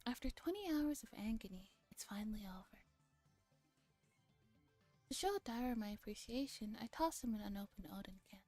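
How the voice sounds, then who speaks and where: A woman streamer reading a visual novel aloud in a soft, relaxed ASMR style, sometimes slipping into a whisper, with casual chat. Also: medium pitch, normal speed, long pauses. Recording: music playing behind the voice. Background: music.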